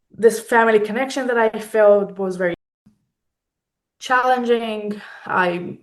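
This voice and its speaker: Woman, from Israel, interview guest, photographer, reflective, halting delivery, mid-pitched voice.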